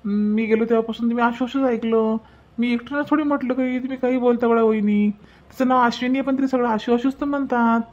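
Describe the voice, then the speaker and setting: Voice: mid-range voice, fairly even intonation, quick pace, a little mellow, muffled recording, close to an everyday voice. A performer voicing a bashful, eager young man in an amateur cartoon family drama, with a Vidarbha Marathi accent. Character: bashful young man smitten with a prospective bride.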